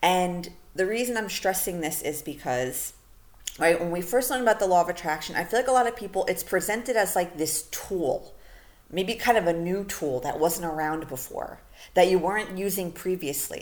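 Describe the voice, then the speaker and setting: Low-voiced chatty woman podcaster. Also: normal speed. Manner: casual, chatty, lots of fillers like "you know" and "like". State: losing her voice. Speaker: podcast host. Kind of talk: solo podcast monologue.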